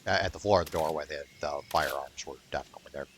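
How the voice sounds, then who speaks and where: Low-voiced man doing casual game commentary. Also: quick pace, fairly bright tone, slight background noise. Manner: rambling, hesitant, sweary. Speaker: gaming YouTuber.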